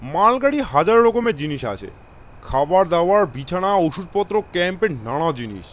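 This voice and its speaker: An authoritative railway guard played by a male narrator in a horror audio drama, with a high voice that swings widely. He is emphatic and alarmed, dropping English phrases into his speech. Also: big pitch swings, normal speed, muffled recording, slight background noise. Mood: brusque, knowing.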